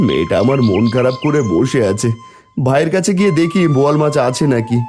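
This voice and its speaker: A man voicing a poor, doting village father with a plaintive, expressive delivery in a cartoon. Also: medium pitch, quick pace, soft background music, close to an everyday voice. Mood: concerned. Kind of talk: cartoon voice acting.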